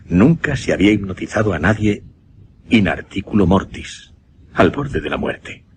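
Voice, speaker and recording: An earnest gentleman mesmerist. His telling is formal and deliberate, with widely moving intonation and dramatic pauses, as in a suspense radio play. Faint noise sits behind him. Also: low-pitched, sing-song. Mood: grave, intent.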